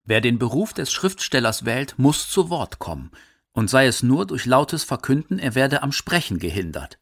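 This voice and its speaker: A man's voice: medium pitch, sing-song, moderate pace. A man narrating a scripted radio documentary. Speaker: documentary narrator and quote reader.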